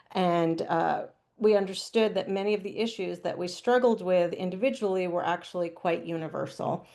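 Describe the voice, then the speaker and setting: A woman on a video call giving a steady, prepared town hall presentation. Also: on the low side, creaky. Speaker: panelist, archival producer.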